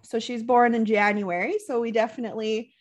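A woman's voice: a little high, steady tone, a little fast. Giggly young woman co-host. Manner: friendly, giggly, talkative. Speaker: podcast co-host, nurse. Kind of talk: casual chat.

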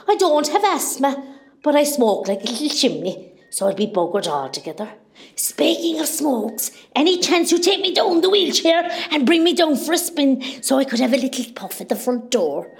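A woman's voice: high voice, expressive, moderate pace. A woman playing a nervy, cheeky, gossipy hospital patient with a West Cork Irish accent in an amateur radio comedy. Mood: gossipy, exclaiming. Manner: chatty, exclaiming, dramatic, muddles her words.

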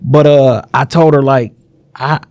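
A man's voice: medium pitch, steady tone, a little slow, darkish tone, no echo. A man co-hosting a podcast, giving laid-back, streetwise advice and checking in with his listeners often. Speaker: podcast co-host. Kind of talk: casual podcast chat.

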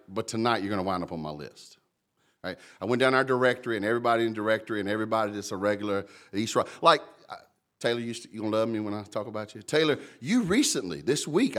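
A pastor delivers a sermon in a big, booming voice. He swings from loud emphatic lines to hushed, warm asides. His tone stays conversational, and he addresses congregants directly by name. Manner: lively, conversational preaching, calls out congregants by name. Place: church.

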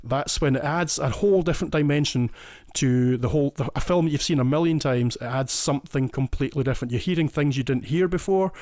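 A man's voice: fairly high pitch, quick pace, few pauses, a little mellow, no echo, studio quality. A Scottish man in his forties, a podcast guest, explaining things with enthusiasm. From Scotland. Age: 40s. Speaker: listener guest. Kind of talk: casual interview.

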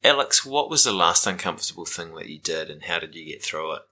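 A man hosts a casual interview. His voice is deep with a bright, forceful edge, and his intonation moves around in a very animated way. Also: quick pace.

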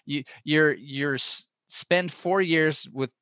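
A man's voice: a little high, slow, sharp tone, no room sound, studio quality. A man hosting a podcast interview in casual chat, talking in an informal, rambling way and airing his opinions. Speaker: podcast host interviewing an author.

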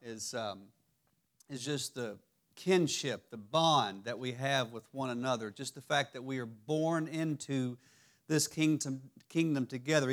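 A man with a raspy voice giving a church teaching sermon, halting and restarting often. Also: medium pitch. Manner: halting, many restarts and fillers. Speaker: lay preacher. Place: church sanctuary.

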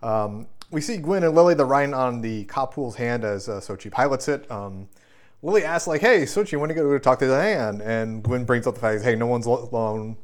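A man's voice: medium pitch, animated, a little fast. A man co-hosting a podcast, casually recapping episodes with lots of ums and loose asides. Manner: loose, filler-heavy recapping. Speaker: podcast co-host.

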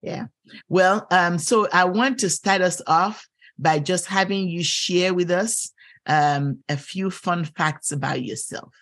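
Gracious woman podcast host, lowish voice. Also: takes it slowly, a bit bright. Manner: gracious, many fillers and affirming "mm-hmm"s. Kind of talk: interview hosting.

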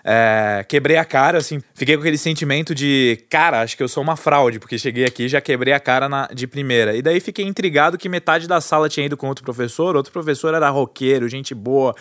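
A Brazilian man in his thirties, a guest entrepreneur telling his story in a relaxed, chatty way, with lots of fillers and restarts. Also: medium pitch, fast talker, few pauses, no echo. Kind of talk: casual storytelling interview.

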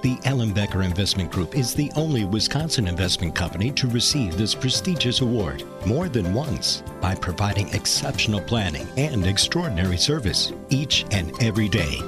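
A man's voice: on the low side, normal speed, few pauses. A man reading a polished sponsor announcement for a radio show. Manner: polished, lively delivery. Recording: voice with music bed.

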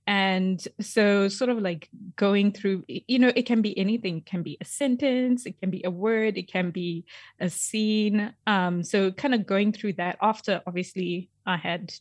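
A woman's voice: mid-range voice, unhurried, dry recording, studio quality. Chatty woman, informal and upbeat. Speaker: interview guest, podcast writer. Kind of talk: casual interview chat.

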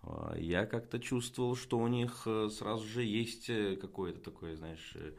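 Deep-voiced male interviewer. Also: moderate pace, gravelly. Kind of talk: conversational interviewing.

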